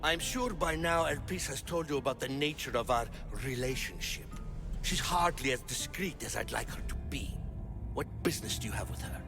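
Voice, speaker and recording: A man's voice: medium pitch, normal speed, music in the background, close to an everyday voice. A man playing a suspicious, controlling, haughty ruler in a video game, imperious and pointed. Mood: suspicious, cold. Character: suspicious, controlling, haughty male ruler of Atlantis, jealous villain. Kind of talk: video game cutscene acting.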